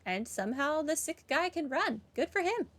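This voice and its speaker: A woman's voice: high-pitched, very animated, normal speed. A woman giving casual playthrough commentary with a dry, sarcastic manner. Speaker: gamer, playthrough host.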